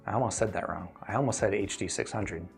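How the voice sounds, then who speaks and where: A man's voice: on the low side, fast, vocal fry, soft background music. A man hosting a casual livestream question-and-answer session, explaining things in a thoughtful, hedging way. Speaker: host reviewing audio gear and answering viewer questions.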